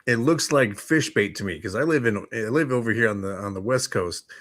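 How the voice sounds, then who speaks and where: A man's voice: fairly low pitch, a little fast, a bit bright. An American man co-hosting a talk show, chatting casually in an easygoing, jokey way. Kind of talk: casual chat.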